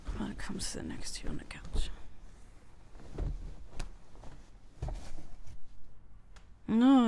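Mellow, slow-talking tomboy girlfriend, acted by a woman. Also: mid-range voice, vocal fry, husky, heavily acted. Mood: sleepy, affectionate. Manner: soft, hushed, unhurried, casual with hesitant restarts. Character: tomboy girlfriend, affectionate, curious, easily horrified. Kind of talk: girlfriend roleplay ASMR audio, casual improvised-sounding chat.

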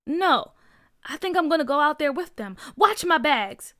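Young boy played by a woman, children's story: high, bright, earnest, plaintive. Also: animated, normal speed, no echo, clean studio sound. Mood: eager. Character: eager young boy, daring but easily shaken. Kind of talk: acted children's story dialogue.

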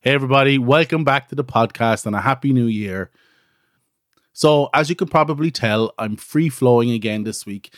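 A man's voice: mid-range voice, normal speed, no echo, studio quality. A middle-aged Irish man hosting his own podcast in a casual, rambling, self-deprecating chat full of "so" and "you know". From Ireland. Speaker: podcast host.

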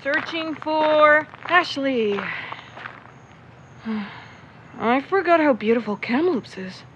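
Woman acting as snappy young woman, high-pitched, lively intonation, audio drama, faint noise behind. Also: slow, muffled recording. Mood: reflective. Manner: brash, snappy. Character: feisty, foul-mouthed, bickering young woman.

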